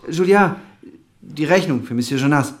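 An actor playing an effusive, nosy restaurant owner in a radio play, jovial, solicitous and prying. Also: male voice, a little high, lively intonation, normal speed, lightly acted. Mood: jovial, welcoming. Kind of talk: German radio play acting.

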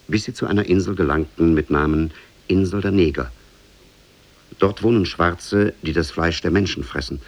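Deep, creaky-voiced male storyteller. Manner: measured, storytelling. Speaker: narrator. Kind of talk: scripted storybook narration, 1950s radio.

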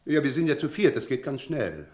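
Roomy echo, male actor as cocky smooth talker, flowery, breezy, radio drama. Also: mid-range voice, sing-song, a little fast, a little mellow, lightly acted. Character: glib, cocky male visitor posing as an auditor. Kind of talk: radio play acting.

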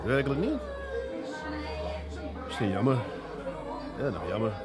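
An actor playing a shrewd, teasing police detective with a Dutch accent, putting needling questions with feigned innocence in a crime drama. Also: male voice, mid-range voice, big pitch swings, measured pace, loud music behind the voice, over a noisy crowd. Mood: sly, insistent. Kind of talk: television crime drama acting.

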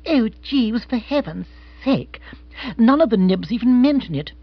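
A man voicing an excitable, breezy upper-class English lady in a storybook, emphatic and slangy. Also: extremely high pitch, unhurried, no echo, dull audio, pitched above the performer's own voice, heavily acted. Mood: breezy, curious.

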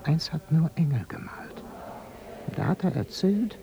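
A hushed, gravelly village gossip. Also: male voice, a little high, animated, a little slow, whispered, lo-fi sound. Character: gossiping village man spreading news.

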